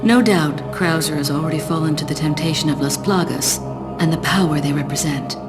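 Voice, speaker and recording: An actress plays a self-assured woman spy in a game cutscene. Her voice sits low and stays detached and measured, guarding every thought. Music plays clearly behind her. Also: lightly acted. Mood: measured, analytical. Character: cool, self-assured female secret agent. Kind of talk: video game cutscene acting.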